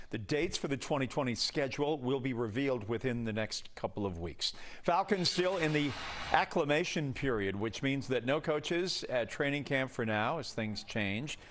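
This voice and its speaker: Male sports anchor, mid pitch, scripted upbeat read. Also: a bit bright.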